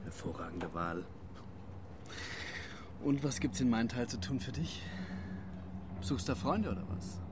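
An actor playing a chatty, opinionated train traveller in a naturalistic radio drama. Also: male voice, mid-range voice, animated, unhurried, pauses often, lightly acted. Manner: chatty, casual. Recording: clear vehicle ambience noise.

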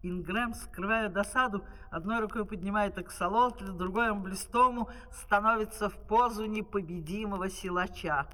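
Male narrator, high and crisp-edged bright tone, scripted opera storytelling, reverberant old record sound. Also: normal speed, hardly any pauses.

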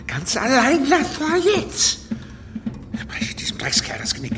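An actor playing an outraged, indignant schemer in a German radio drama. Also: male voice, extremely high pitch, huge pitch swings, measured pace, background noise, exaggerated voice.

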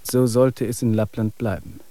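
An actor in a radio play as a wry, weary male traveler, speaking in an even, reflective way. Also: mid-range voice, moderate pace, no echo, natural voice. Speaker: main character.

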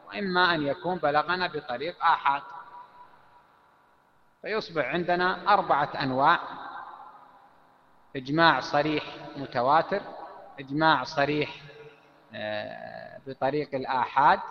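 A man's voice: a little high, slight background noise. Guy explaining an old legal text, lots of umms, hall echo, muffled recording. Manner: explanatory, with frequent pauses and fillers. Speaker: teacher explaining a classical text. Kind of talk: lecture on Islamic legal theory. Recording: echoey hall, dull lo-fi sound.

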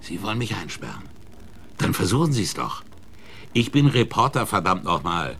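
A cocky, cynical newspaperman in a western radio drama. His voice is deep and swings widely as he talks with scornful defiance, over noticeable ambient sound. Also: very animated, moderate pace, creaky, fairly bright tone, a little hiss or hum, exaggerated voice. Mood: defiant, scornful. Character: brash, cynical male newspaper reporter in the Wild West. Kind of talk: German radio play acting.

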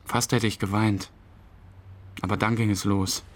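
A man's voice: lowish voice, level tone, unhurried. An actor playing a subdued, hesitant man who recalls a friend's burial in plain, short sentences, as narrator of a radio play. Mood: reflective, subdued. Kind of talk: interview-like dramatic monologue, past-tense recollection. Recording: faint rain and ambience noise.

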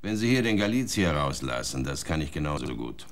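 An actor playing a stern police inspector in a radio drama, talking in curt, commanding questions and warnings. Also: male voice, very deep voice, very animated, fairly quick. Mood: pressing. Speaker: police interrogator.

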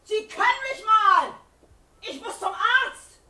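A woman's voice: extremely high pitch, lively intonation, slow pace, sharp tone, exaggerated voice. An actress playing a defiant, desperate older mother in a radio drama, brusque and indignant. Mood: outraged, desperate. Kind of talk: German radio drama acting. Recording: roomy, distant, noisy radio drama recording.

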